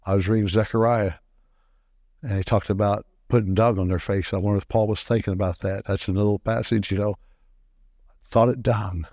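A man preaching a sermon into a microphone in a church, animated, often swinging his voice up high to stress a point. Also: fairly quick, dry recording, studio quality.

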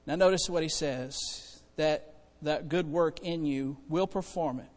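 A man preaching to a congregation in a folksy, conversational way, moving between hushed asides and loud exhortation. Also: fairly high pitch, unhurried. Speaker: preacher and church choir director. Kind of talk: animated sermon to a congregation. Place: church hall, preaching to congregation. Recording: reverberant hall with long echo.

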